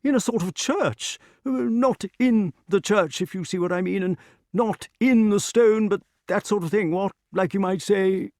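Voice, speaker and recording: A man voicing a dithering, excitable, petulant elderly king in a storybook, with a flustered, repetitive manner. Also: high voice, a little slow, no room sound, studio quality, pitched above the performer's own voice. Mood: muddled. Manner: flustered, repetitive, peppered with interjections.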